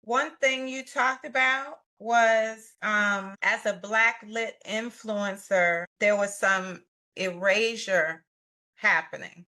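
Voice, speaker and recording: A woman co-host asking interview questions with a bright voice. She speaks slowly and draws out her words. The tone stays measured throughout. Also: medium pitch, fairly even intonation. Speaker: podcast co-host.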